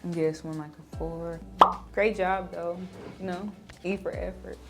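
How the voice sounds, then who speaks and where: A woman in her twenties from Los Angeles, a personal chef, speaking in a measured, thoughtful way. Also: on the low side, fairly even intonation, over loud music. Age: 20s.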